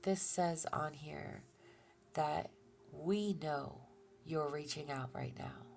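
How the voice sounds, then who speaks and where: A woman giving a gentle tarot reading, soft-spoken and reassuring, who often drops to a near-whisper and talks through a stuffy nose. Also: low-pitched, slow, vocal fry, a little hiss or hum. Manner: gentle and reassuring, with fillers and restarts. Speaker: tarot reader. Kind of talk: relaxed tarot reading to viewers.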